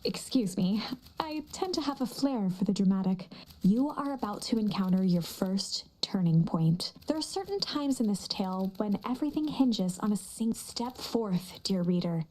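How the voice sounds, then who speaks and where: A woman playing a dramatic, playful storybook narrator in a video game, with a coy, deliberate delivery. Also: medium pitch, lively intonation, darkish tone, a little hiss or hum, natural voice. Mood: coy, theatrical. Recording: music under the voice. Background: guitar and instrumental music.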